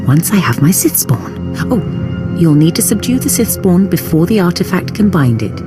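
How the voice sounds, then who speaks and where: An obsessive Sith researcher, haughty and impatient. Her lowish voice swoops theatrically in video game style, with music behind it. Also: big pitch swings, normal speed. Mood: impatient, commanding. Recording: music under the voice.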